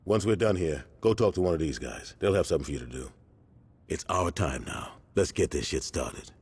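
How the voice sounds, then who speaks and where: Game gang leader. Commanding, calculating, deep rasping voice, slangy, heavy hall reverb. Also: male voice, lively intonation, brisk, full character voice. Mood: firm, resolute. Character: stern, calculating male street gang leader. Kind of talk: video game cutscene acting.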